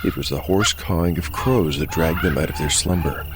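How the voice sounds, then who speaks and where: A man acting as game master and narrator of a dark fantasy audio drama. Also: rumbling low, moderate pace, heavy background noise.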